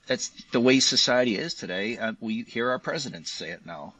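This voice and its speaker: A man's voice: medium pitch, expressive, fairly quick, fairly bright tone, husky, no echo, low-quality recording. A man speaking conversationally as an author and interview guest. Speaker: novelist guest.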